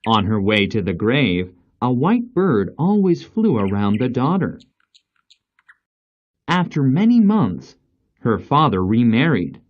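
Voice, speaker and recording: A man reading a scripted fairy tale as storyteller, in a slow, deliberate, expressive way. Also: medium pitch, highs cut off.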